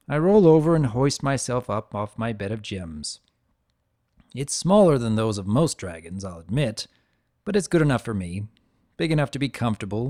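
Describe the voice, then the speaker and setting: A man acts out an easygoing adult dragon telling his tale in a storybook reading. The delivery is conversational and gently wry, full of musing asides. The pitch sits mid-range and moves animatedly. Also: no room sound, clean studio sound, natural voice. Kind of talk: first-person dramatic reading of fan fiction.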